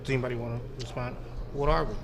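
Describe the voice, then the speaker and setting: A man moderates a roundtable, putting direct probing questions to each guest. His voice carries a long echo, with a clear noise under it. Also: medium pitch, racing. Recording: echoey room with steady noise.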